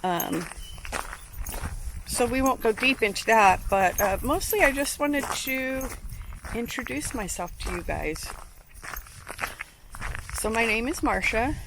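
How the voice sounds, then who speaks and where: A woman's voice: on the high side, expressive, slow, pauses often. A woman in her fifties from Ohio, chatting casually to camera while walking her dogs on a nature trail, with many ums and restarts. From Ohio, USA. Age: 50s. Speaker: channel creator. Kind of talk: casual vlog talk to camera. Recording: outdoor recording with clear background noise.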